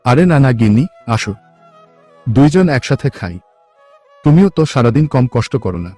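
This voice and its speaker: A man playing a simple, devoted young village farmer in a cartoon, earnest and gentle. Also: mid-range voice, very animated, normal speed. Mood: affectionate. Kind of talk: cartoon voice acting. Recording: music behind the voice.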